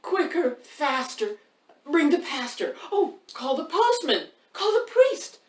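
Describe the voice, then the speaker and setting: Casting: hysterical storybook mom, done by a woman reader, shrill urgent exclamations, very high and swooping. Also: sing-song, slow pace, exaggerated voice. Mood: frantic, urgent. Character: frantic, hysterical mother summoning help for her child. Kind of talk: rhyming picture-book read-aloud. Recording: roomy, distant mic, faint noise.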